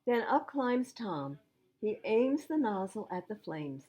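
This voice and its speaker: A woman's voice: medium pitch, sing-song, takes it slowly, dark tone, husky. Woman reading aloud to children. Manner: explains things simply to young listeners. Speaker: children's library storyteller. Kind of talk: read-aloud picture book storytelling with asides to children.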